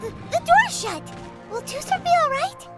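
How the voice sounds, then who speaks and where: A tiny floating video game companion, childlike and squeaky. The voice is extremely high and very animated, excitable and nosy in its exclamations. Music plays behind it. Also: female voice, normal speed, full character voice. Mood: worried. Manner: exclamatory, expressive. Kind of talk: cartoonish video game voice acting.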